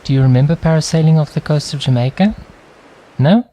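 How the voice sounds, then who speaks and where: A man reading a scripted travel commercial as a voice-over, with an evocative, unhurried delivery built on rhetorical questions. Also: a little high, mellow. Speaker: voice-over artist.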